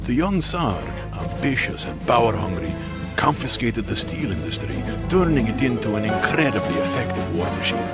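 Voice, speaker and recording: An actor playing a grave storyteller, narrating a war cutscene in a game in a dramatic, portentous manner. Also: male voice, fairly low pitch, big pitch swings, moderate pace, loud music behind the voice. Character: grave male storyteller of an alternate-history war.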